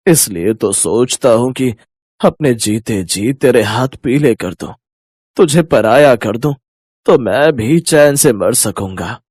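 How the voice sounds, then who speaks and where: A man voicing a sick, weary old father in an animated moral story, stubborn but loving, given to groaning. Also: medium pitch, slow, breathy edge, dry recording, clean studio sound. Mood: weary, resigned.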